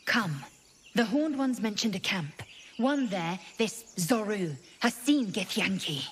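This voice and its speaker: A woman's voice: mid-range voice, animated, slow, slight background noise. An actress voicing a proud, imperious alien warrior woman in a video game, with clipped, scornful speech. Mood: commanding. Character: proud, haughty female alien Githyanki warrior.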